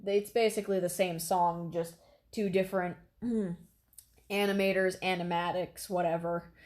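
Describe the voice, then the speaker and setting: Woman, slightly low voice, casual reaction commentary, rambling, explanatory. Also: flat delivery, moderate pace, darkish tone. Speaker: reaction video host.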